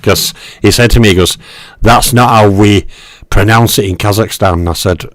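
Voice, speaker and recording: A man's voice: fairly low pitch, normal speed, a bit bright, a little hiss or hum. A man hosting a boxing history channel, talking through a fighter's record in a casual, filler-heavy way with lots of okays and ums. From Western Europe. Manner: greets viewers in live chat. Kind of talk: casual narrated stat breakdown.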